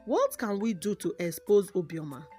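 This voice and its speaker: A woman's voice: medium pitch, big pitch swings, normal speed, darkish tone, lightly acted. A woman voicing an earnest, determined village woman in a folktale, speaking firmly, with music behind. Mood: urgent. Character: loyal, determined young village woman confronting a witch. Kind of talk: folktale character voice.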